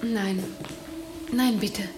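A woman's voice: medium pitch, fairly even intonation, very slow, background noise. An actress playing an anxious, caring young woman in a radio horror drama, earnest and solicitous.

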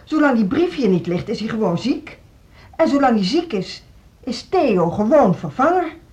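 Imperious, husky female school director. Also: fairly high pitch, very animated, a little mellow. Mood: dismissive. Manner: brisk, dismissive, formal. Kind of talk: Dutch social radio drama acting.

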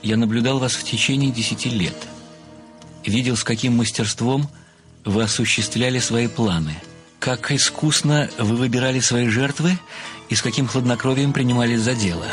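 A methodical male amateur detective played by an actor in a radio play, speaking in a medium-pitched voice over music. He is formal and deliberate, full of flowery deference toward the writer he adores. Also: close to an everyday voice. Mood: reverent. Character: obsequious, methodical amateur male sleuth, adoring a famous writer. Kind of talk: audio play acting.

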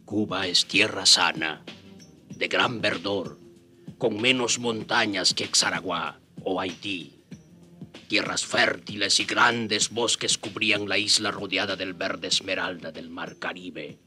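A man's voice: deep voice, slow, sharp tone, soft background music, full character voice. An actor narrating a radio drama as a dignified Taíno man recounting his people's history in a solemn storytelling manner.

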